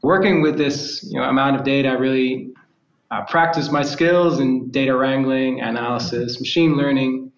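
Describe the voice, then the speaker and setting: Male guest, mid-pitched, filler-heavy, echoey lo-fi remote audio. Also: normal speed. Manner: steady, with frequent "you know" and "uh". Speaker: lead data scientist guest. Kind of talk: casual interview answers. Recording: roomy, dull remote audio.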